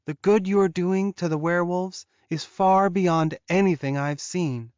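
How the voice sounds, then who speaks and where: A man performs a young werewolf woman in a fantasy audiobook, pitched well above an ordinary narrating voice. She speaks with raw, pleading earnestness about a painful past. The sound is dry and rather dull. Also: measured pace, a little mellow, clean tone, dry recording. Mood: earnest, grateful. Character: tearful young female werewolf confessing her painful past.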